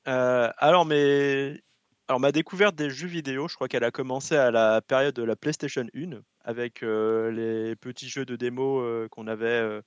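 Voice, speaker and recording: A young man from French Guiana, a guest in an interview, speaking in a relaxed way with constant hesitations. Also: medium pitch, normal speed, clean tone, dry recording, lo-fi sound. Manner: relaxed, very hesitant with constant fillers, laughs often.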